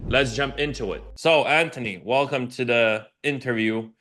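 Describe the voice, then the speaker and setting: A man hosting an interview as a business coach, opening with an upbeat hype-style pitch before chatty questions. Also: normal speed, fairly bright tone. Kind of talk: scripted intro then casual interview.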